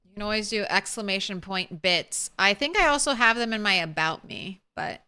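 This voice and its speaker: A woman streamer chatting softly and casually with her viewers while playing a game, thanking them as she goes. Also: mid-range voice, normal speed, smooth. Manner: soft, relaxed, thanks viewers. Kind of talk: casual live-stream chat while gaming.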